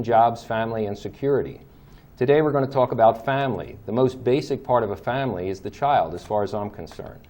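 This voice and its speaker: A man's voice: medium pitch, a little mellow. A man hosting a local talk show, easygoing and encouraging with short replies. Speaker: host.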